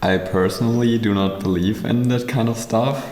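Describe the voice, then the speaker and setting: A man's voice: on the low side, steady tone, normal speed, darkish tone. A young man in his twenties from Germany, speaking English as a guest, firm and skeptical. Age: 20s. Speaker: podcast guest. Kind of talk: casual discussion. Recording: echoey hall, faint noise.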